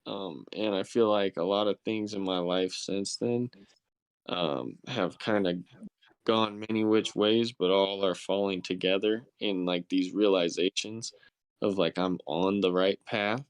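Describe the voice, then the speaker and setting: A man with a smooth, fairly low voice, recorded close and dry. He chats casually as a podcast host, earnest and reflective, with plenty of slang. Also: steady tone, measured pace, no echo, poor audio. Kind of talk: casual chat.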